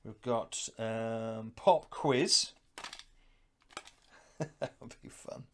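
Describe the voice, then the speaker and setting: A man's voice: on the low side, drawn-out words, pushed and bright, a little hiss or hum. A middle-aged man giving casual, unscripted unboxing commentary, musing aloud as he handles things. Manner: musing, thinking aloud while handling items. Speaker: host of a retro gaming unboxing channel.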